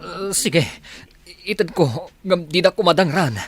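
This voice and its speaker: A man's voice: high-pitched, measured pace. A man with an Ilocano accent playing an anxious young man in love in a radio drama. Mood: frightened, pleading.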